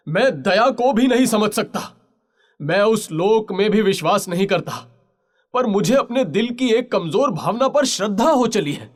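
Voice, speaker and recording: Male actor as proud seafaring chief, high-pitched, impassioned, pleading, halting pauses, literary audio drama. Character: lovelorn proud mariner chief and former pirate, man.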